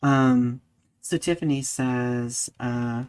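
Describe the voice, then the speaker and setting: A man's voice: mid-range voice, steady tone, extremely slow, a little mellow, smooth. A man hosting a live podcast in a casual, conversational style, often saying "like" and checking in with "right?". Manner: conversational, peppers talk with "like" and "right?". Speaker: podcast host.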